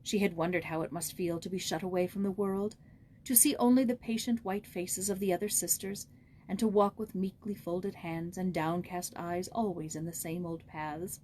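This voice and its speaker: A woman with a slightly low voice narrates a children's story expressively. Faint noise sits behind the reading. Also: steady tone, normal speed, mellow. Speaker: audiobook reader.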